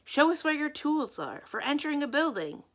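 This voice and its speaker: A woman's voice: high voice, very animated, normal speed, fairly bright tone, pitched above the performer's own voice. A woman voicing a pushy, threatening male detective in a storybook, with a demanding, barking delivery. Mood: urgent, demanding. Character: pushy, gloomy hired detective man, impatient and threatening.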